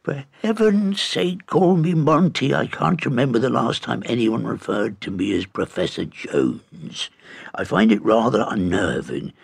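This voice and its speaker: A male reader puts on a stuffy old professor turned into a tiny bug, all bluster and stammer. His voice leaps high and dances around, with a gravelly edge underneath. He is prim, excitable and easily offended, in the manner of a storybook audiobook. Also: unhurried, exaggerated voice. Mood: excited. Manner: pompous, stammering, indignant. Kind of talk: audiobook character reading.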